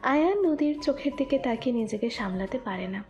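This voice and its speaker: A woman narrates a scripted love story. Her voice sits fairly high, and faint music runs underneath the narration. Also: lively intonation, fairly quick, a little mellow.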